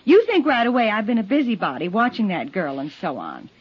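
An actress playing a flustered, defensive neighbor, hesitant and protesting under questioning in a 1950s radio drama. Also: female voice, mid-range voice, very animated, brisk, husky. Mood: defensive, earnest. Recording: dull, lo-fi 1950s radio recording.

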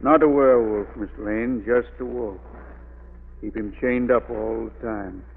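A man playing a menacing, vengeful killer with folksy, rough grammar in an old radio thriller. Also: lowish voice, fairly even intonation, a little slow. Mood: gloating. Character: vengeful, menacing murderer with a pet wolf. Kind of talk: 1940s radio villain acting. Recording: noisy, phone-like old radio recording.

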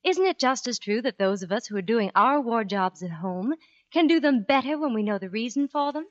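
A woman's voice: on the high side, lively intonation, fairly quick, dry recording. A woman giving a scripted 1940s radio sponsor talk. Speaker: sponsor spokeswoman. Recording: dull, dry old radio recording.

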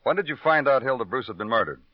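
Male actor as probing American detective, clipped but lively intonation, mid-pitched, old radio drama with dull sound and faint noise. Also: fast, no room sound, close to an everyday voice. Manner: clipped, matter-of-fact questioning. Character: male police detective, terse, probing, steady interrogator. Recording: dull, noisy vintage radio recording.

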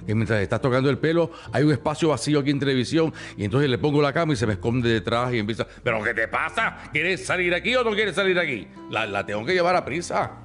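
Man, casual chat, crude playful jokes, mid pitch, faint music behind. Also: lively intonation, fast, few pauses, fairly bright tone. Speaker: podcast guest.

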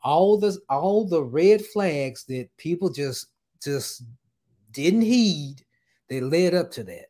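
An earnest man speaking as a guest on a casual podcast, slowing down to stress his points. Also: fairly high pitch, lively intonation, mellow.

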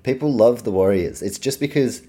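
A man's voice: medium pitch, moderate pace, dark tone. A man with a New Zealand accent giving a casual, opinionated solo sports preview as a podcast host, informal and sweary. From Auckland, New Zealand.

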